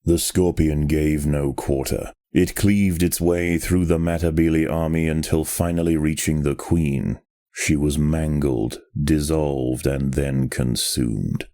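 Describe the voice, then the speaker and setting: A man narrates in the manner of a nature documentary, with a very deep, mellow voice. His delivery is measured and solemn, with a sense of drama. The sound is dry and close, as scripted game narration. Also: steady tone, no echo.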